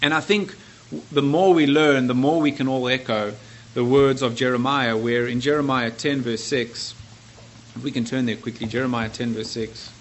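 A man's voice: medium pitch, fairly even intonation, moderate pace, a little hiss or hum. A man from South Africa with a South African accent, preaching a sermon in an earnest, conversational way. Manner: earnest, conversational, self-correcting.

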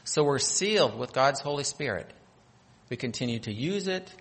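Guy from north dakota giving a sermon in a church hall, long echo, gets loud on the big lines. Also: mid-range voice, lively intonation, moderate pace. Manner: preaching with swells of emphasis and scripture reading. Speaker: pastor.

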